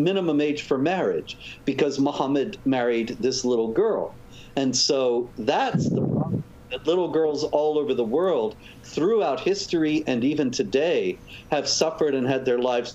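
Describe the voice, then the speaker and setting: A man, a guest author, explaining points in a measured way during a talk show interview. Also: mid-range voice, darkish tone. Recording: dull lo-fi audio with faint noise.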